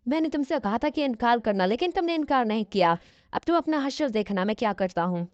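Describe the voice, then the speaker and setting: A woman's voice: on the high side, lively intonation, a little fast, dry recording, lightly acted. A woman voicing a haughty, sarcastic young male lecturer in a cartoon, scornful and bossy. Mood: threatening. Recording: dull, lo-fi audio.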